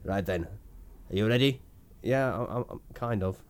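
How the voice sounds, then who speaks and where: A man plays a crude, swaggering foreign temp on a comedy podcast. His put-on accent never settles in one place. The voice sits a touch low, and the delivery is theatrical and boastful. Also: moderate pace, a little mellow, smooth, close to an everyday voice. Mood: wheedling. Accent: put-on foreign accent that keeps shifting. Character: pompous foreign male temp with a wandering accent. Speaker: guest character on a comedy podcast.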